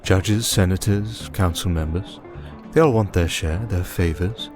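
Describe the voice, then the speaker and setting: A man playing a scheming, refined vampire archduke in an ASMR audio drama, speaking in a measured, formal, condescending way. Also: deep voice. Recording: music bed under the voice.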